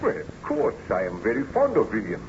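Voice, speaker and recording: An actor playing an anguished yet ardent baron scientist in old-time radio drama, formal and melodramatic, on an old radio recording. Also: male voice, mid-range voice, wildly swooping pitch, brisk, muffled recording, slight background noise. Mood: ardent, earnest.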